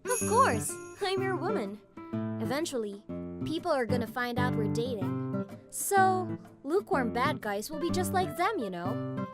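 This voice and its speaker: A woman's voice: sits high, very animated, measured pace, over loud music. A woman playing a tough, protective teenage girl gang leader in a manga dub, bossy and blunt yet girlish. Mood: affectionate, assured. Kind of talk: manga dub character acting.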